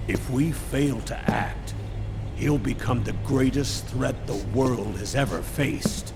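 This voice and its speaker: An actor playing an earnest older military commander in a video game, giving a solemn, measured briefing. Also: male voice, on the low side, vocal fry, full character voice. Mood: urgent, earnest. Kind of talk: dramatic video game voice acting.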